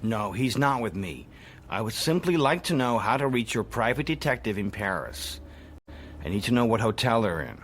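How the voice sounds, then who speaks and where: A man's voice: medium pitch, very animated, moderate pace, a bit bright. An actor with an American accent playing a controlled, determined, secretive man who also narrates a radio drama. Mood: cool, insistent. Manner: controlled, insistent. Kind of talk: radio drama acting with first-person narration.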